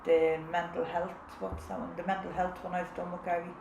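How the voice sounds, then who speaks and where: A woman's voice: lowish voice, flat delivery, a little fast. A woman and mother of three, a peer support worker, chatting casually about her project work. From Ireland. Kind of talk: recorded testimony. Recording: echoey room with clear background noise.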